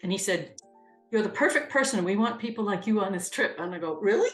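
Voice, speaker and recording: A woman from New Hampshire sharing her experience in an animated way, laughing as she retells moments. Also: medium pitch, a little fast. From New Hampshire, USA. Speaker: interviewee, churchgoer. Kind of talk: reflective testimony. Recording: roomy sound with music bed.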